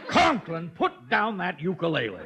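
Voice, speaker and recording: An actor playing a stern, sarcastic, exasperated school official in a 1950s radio comedy. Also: male voice, high-pitched, wildly swooping pitch, moderate pace, a crowd in the background. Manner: sarcastic, blustery. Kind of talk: 1950s radio sitcom acting. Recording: very noisy old radio recording.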